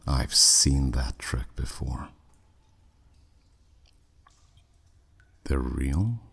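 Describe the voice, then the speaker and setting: A man's voice: rumbling low, gravelly, slightly rough, full character voice. A man playing a haughty, flirty, skeptical demon buyer in a scripted ASMR audio roleplay, with a sardonic, dismissive drawl. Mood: amused disbelief.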